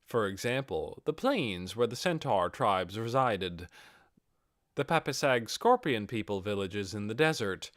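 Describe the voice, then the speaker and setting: A man's voice: lowish voice, lively intonation, unhurried, fairly bright tone, no room sound, studio quality. A man reading a fantasy novel aloud as a scripted audiobook narration.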